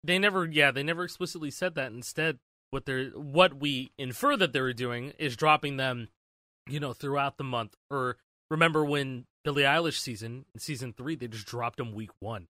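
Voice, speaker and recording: A young man in his late twenties co-hosts a casual podcast, giving blunt opinions and cursing freely. His voice is bright and forward, recorded dry and close to the mic. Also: mid-range voice, normal speed, no echo. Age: 20s. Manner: swears freely, animated opinions. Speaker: podcast co-host. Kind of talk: casual chat.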